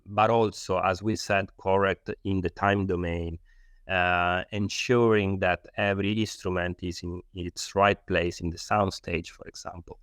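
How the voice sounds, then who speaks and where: A man's voice: low-pitched, a bit bright, clear, resonant tone, no room sound, clean studio sound. A man with an Italian accent, a non-native English speaker, giving explanatory interview answers and often searching for words. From Italy. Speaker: loudspeaker designer, interview guest.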